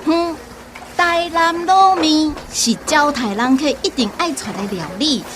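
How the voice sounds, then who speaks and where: A woman's voice: high voice, a little slow, noisy background. A woman with a Taiwanese accent playing a pushy, hospitable local mother in a radio drama. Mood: proud, insistent.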